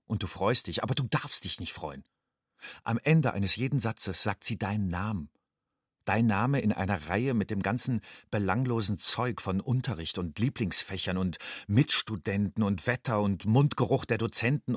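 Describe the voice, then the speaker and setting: A man's voice: fairly low pitch, a bit bright, slightly rough, no room sound, clean studio sound. A man playing a haunted, secretive young migrant in a radio play, speaking a literary monologue to himself in terse, inward phrases. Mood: tense, inward.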